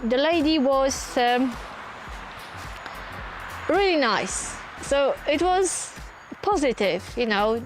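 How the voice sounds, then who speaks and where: A woman from Poland with a Polish accent, chatting casually on a live stream from her touring caravan and answering viewer comments. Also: a little high. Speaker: channel host.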